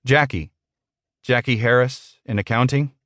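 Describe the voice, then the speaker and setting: A man's voice: medium pitch, unhurried, a bit bright, no room sound, studio quality, voice pushed up, close to an everyday voice. A man voicing a meek male office employee in an audiobook, deferential, polite and a little hesitant. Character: meek, earnest, bewildered male office worker.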